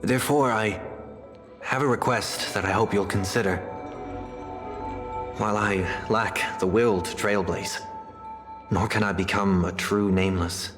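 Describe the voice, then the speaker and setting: An actor playing a refined, gravely courteous young idealist man in a video game, speaking in a formal, measured, earnest way. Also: lowish voice, fairly even intonation, over music, natural voice. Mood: earnest, reflective. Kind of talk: dramatic video game dialogue.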